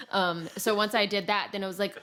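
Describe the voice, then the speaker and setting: A woman's voice: mid-range voice, fairly even intonation, normal speed, bright, forceful tone. A woman chatting casually and informally about video games as a podcast co-host, rambling and self-deprecating.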